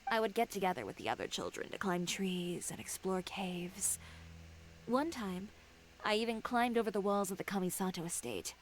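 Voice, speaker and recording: A woman's voice: mid-range voice, lively intonation, moderate pace, a little hiss or hum, close to an everyday voice, low-quality recording. An actress playing an assertive, poised young female fashion designer in a video game, telling things in a self-assured way. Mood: reminiscing.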